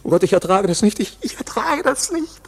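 Anxious husky high-voiced accused man. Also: sing-song, brisk, a bit bright, heavily acted. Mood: desperate. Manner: pleading, agitated, formally polite. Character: anxious, bewildered accused man desperate to leave. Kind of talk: German radio play acting.

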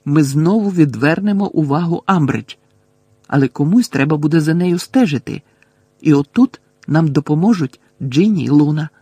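A man's voice: on the high side, lively intonation, normal speed, warm tone, breathy edge, no room sound. A man voicing an earnest, anxious teenage girl witch in an audiobook, pleading and stubbornly reasoning. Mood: anxious, determined.

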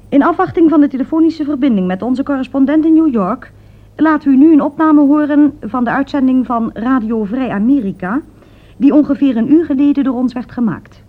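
A woman playing a composed continuity announcer, making formal broadcast announcements in a radio drama. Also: high voice. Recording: tinny, narrow old radio recording.

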